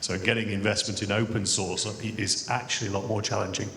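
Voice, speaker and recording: A man in the audience asking a question at a live event, speaking loudly and in a measured way. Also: lowish voice, level tone. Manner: loud, measured. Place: large lecture hall. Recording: echoey hall with faint noise.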